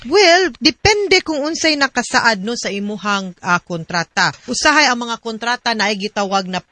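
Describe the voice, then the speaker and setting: Filipina radio advice host, cebuano accent, bright clear-cut voice, dry studio sound, explains stuff chatty-like. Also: female voice, fairly high pitch, expressive, normal speed, dry recording. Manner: explanatory, often checks with "no?". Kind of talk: conversational radio legal and relationship advice.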